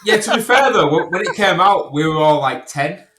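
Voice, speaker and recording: Echoey, higher-pitched northern English man. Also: animated, moderate pace, background noise. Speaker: podcast guest. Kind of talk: casual chat. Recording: echoey, reverberant room.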